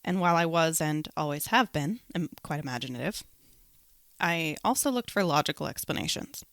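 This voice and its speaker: A woman's voice: sits low, expressive, normal speed, a bit bright, no echo, clean studio sound. A woman hosting a podcast, telling personal spooky stories in her own words. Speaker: podcast host.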